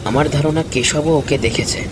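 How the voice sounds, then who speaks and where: A man's voice: on the high side, normal speed, lightly acted. A man voicing a concerned young village friend in a cartoon ghost story, questioning and reasoning. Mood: worried. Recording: clear rain and thunder noise behind.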